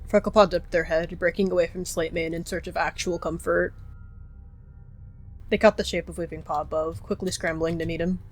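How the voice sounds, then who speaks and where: A woman's voice: fairly low pitch, fairly even intonation, moderate pace. A woman reading scripted story narration for an animal fantasy roleplay.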